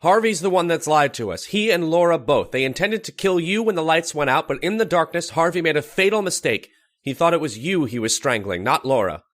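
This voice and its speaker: A man's voice: on the high side, expressive, a little fast, a bit bright. A man playing a controlled, insistent doctor in an old-time radio style horror drama, speaking pointedly and with urgency. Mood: urgent. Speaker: actor in an audio drama.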